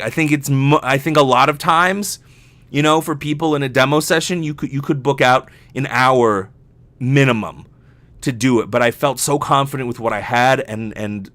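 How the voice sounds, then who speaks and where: A man chatting casually as a solo podcast host, rambling and animated, swearing freely and often interrupting himself. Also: mid-range voice, dry recording.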